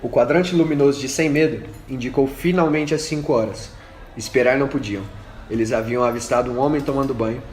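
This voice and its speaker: A man's voice: medium pitch, a little fast, darkish tone. A man reading scripted narration for an amateur radio drama. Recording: echoey room with faint noise.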